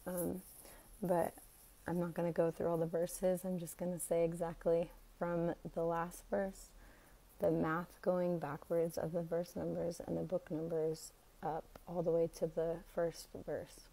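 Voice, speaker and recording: American woman, thirties, raspy, flat intonation, slightly low pitch, halting, casual devotional vlog. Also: low-quality recording. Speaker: religious content creator. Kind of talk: casual devotional vlog about scripture numbers.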